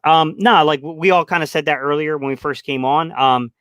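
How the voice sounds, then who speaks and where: A man on a postgame sports talk panel, measured and somewhat pessimistic. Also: fairly high pitch, fairly bright tone, smooth, no echo, highs cut off.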